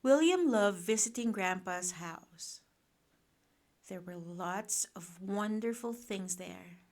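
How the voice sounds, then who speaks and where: A woman reading a children's bedtime picture book aloud in a gentle, expressive, deliberate manner. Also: medium pitch. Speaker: storyteller.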